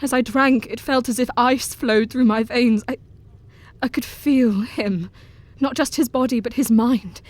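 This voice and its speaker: A woman's voice: on the high side, measured pace, dry recording, close to an everyday voice. A woman playing a shaken young wife. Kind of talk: audio drama acting.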